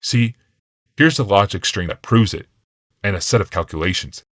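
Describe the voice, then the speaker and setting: Audiobook role: manipulative male genius read by a man, low-pitched, smug, casually needling, crisp dry sound. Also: expressive, moderate pace, fairly bright tone, no echo. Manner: casual, taunting, smooth explaining.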